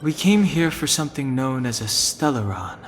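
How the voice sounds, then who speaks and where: An actor playing a serious, matter-of-fact young traveler in a video game, terse and deadpan. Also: male voice, mid-range voice, normal speed, a little hiss or hum, lightly acted. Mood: serious, explanatory. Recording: hall reverb, faint music behind.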